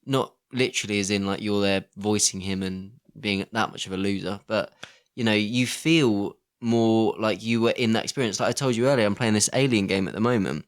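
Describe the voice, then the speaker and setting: A man with a fairly low voice co-hosts a casual film podcast. He talks conversationally, putting questions to his partner and offering his own views in everyday language. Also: fairly even intonation, a little fast, few pauses, clear, resonant tone. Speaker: podcast co-host. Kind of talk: casual film discussion chat.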